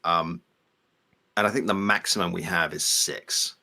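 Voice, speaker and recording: A man's voice: low voice, steady tone, unhurried, vocal fry, bright, forceful tone. A man from Belgium whose first language is French, co-hosting a casual live stream and explaining things in a digressive way. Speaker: livestream co-host, game asset developer.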